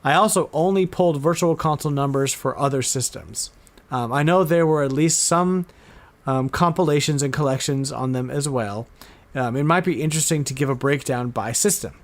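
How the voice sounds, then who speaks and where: A man hosting a podcast, chatting casually and informally, often halting and repeating words as he goes. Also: on the high side. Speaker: podcast host. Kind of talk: casual chat about retro game news.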